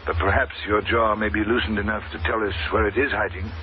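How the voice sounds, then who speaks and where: A man playing a politely threatening foreign spy with a mock-polite, deliberate manner, in the style of a 1950s radio villain. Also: lowish voice, fairly even intonation, close to an everyday voice. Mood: smooth menace. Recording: muffled, very noisy old radio broadcast.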